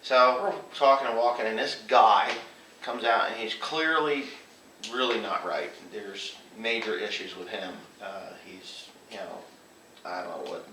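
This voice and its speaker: A man casually telling anecdotes, acting out bits of quoted dialogue as he goes. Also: fairly low pitch. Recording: roomy, distant mic, faint noise.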